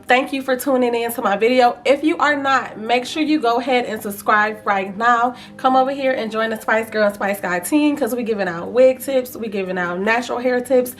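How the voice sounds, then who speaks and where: Chatty, raspy, high-pitched woman vlogger. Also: normal speed, hardly any pauses, faint music behind. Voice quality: slightly raspy, froggy. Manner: chatty, informal, playful asides. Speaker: vlogger reviewing wigs. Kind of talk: casual chat to viewers, beauty review.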